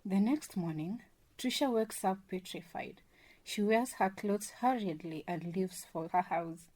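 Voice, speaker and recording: A woman's voice: mid-range voice, measured pace, background noise. A woman reading scripted narration as the narrator of a radio drama. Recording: music and crowd sounds behind.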